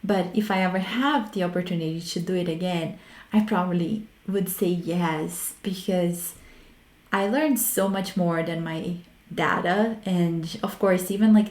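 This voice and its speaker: A woman's voice: fairly low pitch, a little slow, a little mellow. A woman from Brazil with a Brazilian Portuguese accent hosting a solo talk on research methods, frank and reflective, often stopping to restart her words. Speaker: host. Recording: roomy echo.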